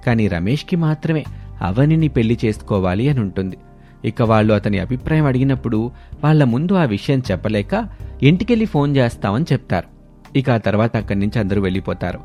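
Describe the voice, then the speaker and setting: A man's voice: medium pitch, mellow. Man, storyteller, scripted narration, mid-range voice, background music.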